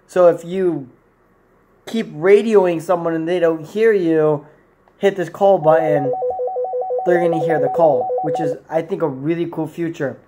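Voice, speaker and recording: A man's voice: fairly high pitch, steady tone, unhurried, mellow, faint music behind. A man in his twenties giving a rambling, informal product review to camera in a room at home. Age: 20s. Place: small indoor room at home.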